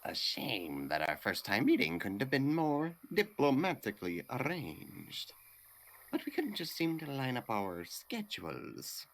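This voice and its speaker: A man voicing a small, petty game villain with mock-polite, affected manners and a creaky voice. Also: medium pitch, wildly swooping pitch, measured pace, fairly bright tone, a little hiss or hum, heavily acted, poor audio. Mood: mock-courteous. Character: small theatrical male villain, mock-courteous, petty and sneering. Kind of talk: comedic video game voice acting.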